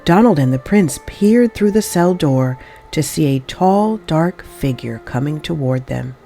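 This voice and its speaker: A woman narrates a children's storybook in a low voice with lively swings of pitch. She takes her time over the phrases, with faint music behind her. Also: a little slow. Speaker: storybook reader. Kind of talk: expressive children's read-aloud narration.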